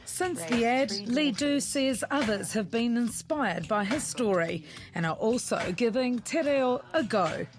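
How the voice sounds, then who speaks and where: A woman's voice: fairly high pitch, animated, a little slow. Woman narrating news over music. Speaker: news reporter. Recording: music bed under voice-over.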